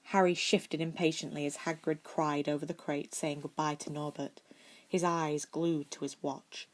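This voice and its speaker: A woman narrating fan fiction as an amateur audiobook reader, expressive with the occasional stumble. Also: low voice, normal speed.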